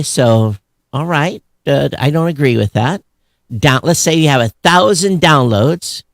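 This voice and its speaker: A man's voice: fairly high pitch, no room sound, studio quality. A middle-aged man co-hosting a podcast, chatting casually about tech news in a blunt, sarcastic way. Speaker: podcast co-host.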